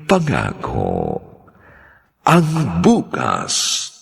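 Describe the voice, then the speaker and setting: Slow, deep, gravelly radio announcer man. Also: wildly swooping pitch. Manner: slow, polite, drawn-out delivery.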